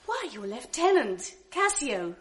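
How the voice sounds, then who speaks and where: Brief: a gracious, persistent young wife, slightly high voice, radio play. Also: female voice, very animated, measured pace. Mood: coaxing. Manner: gentle and earnest, coaxing insistently. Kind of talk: 1980s radio drama acting.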